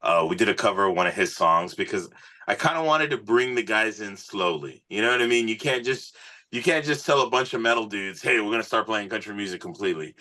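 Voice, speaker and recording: A man's voice: lowish voice, lively intonation, brisk, pushed and bright. A man speaking as a musician guest in a casual interview, earnest and informal, often dropping in "man" and "you know". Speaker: musician, singer, podcast guest.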